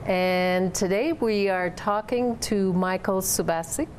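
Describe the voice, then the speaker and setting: A woman's voice: medium pitch, slow pace, a little mellow, a little hiss or hum. An older woman hosting a TV studio interview, introducing guests and asking questions. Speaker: TV show host. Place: indoor TV studio.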